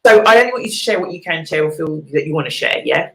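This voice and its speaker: Chatty host woman, gravelly and echoey. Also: deep voice, lively intonation, fast talker, noisy background. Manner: chatty, warm laughter, repeats words. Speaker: chat show host. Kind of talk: casual interview chat. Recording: echoey room.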